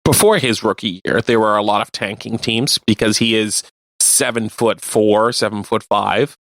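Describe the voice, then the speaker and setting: A man co-hosting a radio show, chatting casually about sports and explaining things in a halting way. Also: on the low side, creaky, a bit bright, no echo. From Canada. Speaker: radio co-host.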